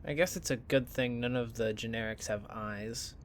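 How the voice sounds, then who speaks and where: A man playing a pushy, teasing college student in an audio drama, with an expressive, bantering delivery. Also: medium pitch, moderate pace, natural voice. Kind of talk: naturalistic audio drama acting.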